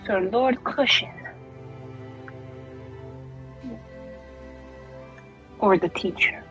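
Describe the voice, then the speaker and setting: A woman's voice: medium pitch, normal speed, fairly bright tone, soft background music, lightly acted. A woman playing a sassy, flippant rogue in improvised tabletop roleplay, rambling and teasing in slangy talk. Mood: flippant, casual.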